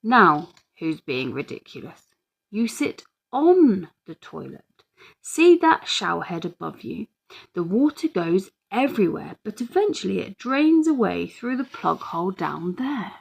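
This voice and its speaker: A woman plays a jokey dad from a children's storybook. He is sarcastic and teasing, quick to protest in mock indignation. The voice has a noticeable creak and takes its time. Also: medium pitch, big pitch swings, full character voice, rough recording.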